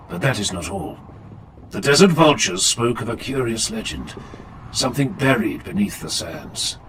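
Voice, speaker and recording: A man playing a stern, relentless warrior chaplain, grave, measured and commanding, in a dramatic audiobook style. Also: fairly low pitch, a bit bright, low-quality recording. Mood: grave, brooding. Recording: clear noise and ambience behind.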